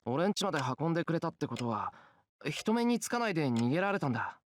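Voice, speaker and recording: A man's voice: fairly high pitch, sing-song, racing, a little hiss or hum. A man playing an earnest, bewildered teenage schoolboy hero in a Japanese visual novel. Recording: background music under game dialogue.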